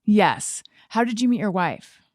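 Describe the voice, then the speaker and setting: A woman hosting a podcast, talking casually and haltingly as she thinks aloud and repeats words. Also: medium pitch, lively intonation, a little mellow, dry recording, studio quality. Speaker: podcast host and interviewer. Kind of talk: casual conversational podcast talk.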